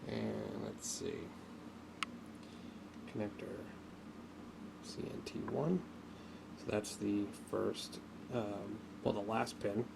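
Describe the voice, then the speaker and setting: A man giving an unscripted hands-on tutorial, speaking haltingly as he thinks aloud while working, as a hobbyist would. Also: lowish voice, fairly even intonation, creaky. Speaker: hobbyist demonstrating an electronics repair. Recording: noticeable background noise.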